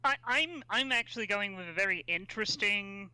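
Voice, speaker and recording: Bright-voiced thirty-year-old woman, blunt. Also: medium pitch, lively intonation, normal speed, clear, resonant tone, no room sound, poor audio. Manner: blunt, stammers into points. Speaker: podcast co-host. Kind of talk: casual sports chat.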